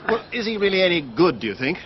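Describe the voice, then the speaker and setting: An actor playing an anxious upper-class English country gentleman in a radio drama, well-spoken, agitated and fretful. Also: sits high, sing-song, quick pace, highs cut off, slight background noise. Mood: anguished, fretful.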